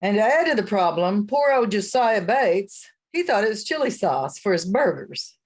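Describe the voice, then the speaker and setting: A woman's voice: medium pitch, sing-song, normal speed. Woman acting a lilting, motherly, menacing witch priestess. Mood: patient, firm. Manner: soothing, condescendingly sweet. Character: motherly, gently menacing small-town witch high priestess. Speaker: actor in a radio play. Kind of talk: radio comedy-drama dialogue.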